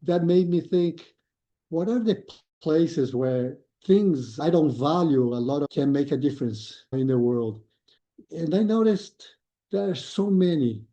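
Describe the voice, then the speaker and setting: Male guest, thoughtful and explanatory, very dark tone, lo-fi audio. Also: on the high side. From Brazil. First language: Portuguese. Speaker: guest, lean consultant. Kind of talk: podcast interview.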